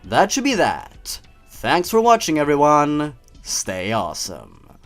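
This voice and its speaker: A man's voice: fairly high pitch, huge pitch swings, slow pace, a bit bright, faint music behind. A man hosting a scripted review show, commenting in a theatrical, sardonic way. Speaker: host of a story-reading review channel. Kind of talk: scripted commentary with dramatic reading.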